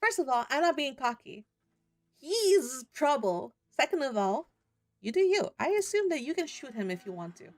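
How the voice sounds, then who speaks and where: A woman giving casual, animated, giggly and sweary commentary while playing and reading a game aloud. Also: sits high, moderate pace, no room sound, faint music behind. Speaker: game streamer. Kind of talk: casual let's-play commentary with read-aloud.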